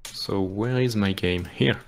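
A man's voice: lowish voice, slow, a little hiss or hum. A man from France with a French accent, explaining a game speedrun in hesitant live commentary full of restarts. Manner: hesitant, many restarts and fillers, apologetic.

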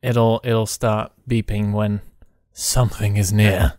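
A man casually commentating on a game he is playing, excitable and given to exclamations. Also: on the low side, slow pace, no echo, studio quality. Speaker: gamer playing a co-op roleplay map.

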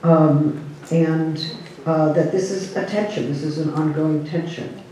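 Woman panelist, careful and measured, mellow tone, roomy far-off sound. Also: low voice, steady tone, slight background noise. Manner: measured, careful, many fillers. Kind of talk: summarizing another speaker's talk. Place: cinema hall panel table. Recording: echoey hall, distant mic.

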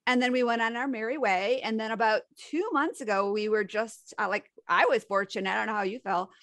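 Higher-voiced woman hosting a podcast. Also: a little fast, fairly bright tone, no echo. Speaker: podcast host. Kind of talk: casual interview chat.

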